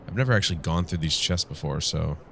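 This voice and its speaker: Low-voiced congested man gaming. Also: animated, quick pace, sharp tone. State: congested from flu, strained voice from yelling. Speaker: gaming show host. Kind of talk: casual let's play commentary. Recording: clear background noise.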